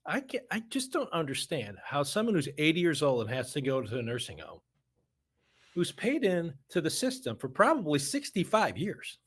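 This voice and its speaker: A man's voice: on the high side, wildly swooping pitch, normal speed, a bit bright, husky. A middle-aged American man talking casually as a financial advisor on a podcast, blunt and folksy, often posing rhetorical questions. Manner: opinionated, blunt, folksy, uses rhetorical questions and acted-out quotes. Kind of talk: casual conversational podcast talk.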